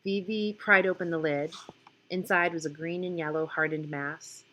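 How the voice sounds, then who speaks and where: Slow-paced woman reading a story aloud. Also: fairly low pitch, fairly even intonation, sharp tone. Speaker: audiobook reader. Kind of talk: scripted story narration, read aloud.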